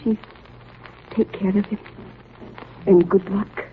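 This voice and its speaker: An actress playing a captain's devoted wife in a 1930s radio drama, speaking in a deliberate, sentimental way. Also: female voice, medium pitch, steady tone, close to an everyday voice. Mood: tender, wistful. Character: devoted captain's wife bidding tender, composed farewell. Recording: tinny, noisy 1930s radio recording.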